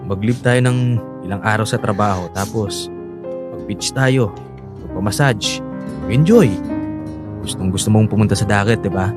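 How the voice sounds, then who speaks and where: An actor playing an upbeat, reassuring young husband in a Filipino radio drama, coaxing and encouraging with a Filipino accent. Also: male voice, lowish voice, moderate pace, pauses often, natural voice. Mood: soothing, coaxing. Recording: music bed under the voice.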